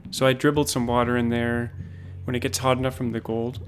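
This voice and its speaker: A man livestreams his game and talks it through in a calm, low-key way. He thinks aloud and often restarts his sentences. Faint music plays behind him. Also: a little fast. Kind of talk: casual gameplay commentary.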